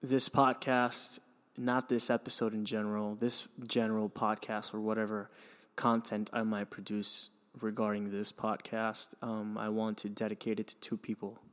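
Low, flat-voiced man telling his story. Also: normal speed, hardly any pauses. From Venezuela. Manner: hesitant, many restarts and fillers, sighs. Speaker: podcast host. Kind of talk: reflective personal storytelling.

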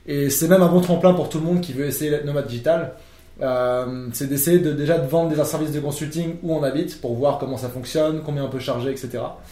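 A man's voice: fairly high pitch, fairly quick, dark tone. A young French man, a podcast guest, explaining at length in a casual conversation with many fillers and restarts. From France. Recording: echoey room.

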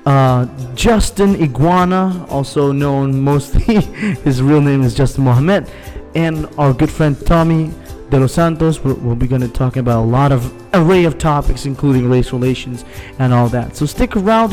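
Young male host, jokey and rambling intro, slightly high voice, faint music under it. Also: darkish tone. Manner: jokey, rambling, self-mocking. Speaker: podcast host. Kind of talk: podcast intro.

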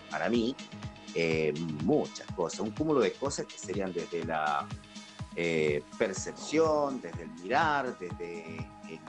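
A man with a Rioplatense Spanish accent hosting a conversational podcast interview. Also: medium pitch.

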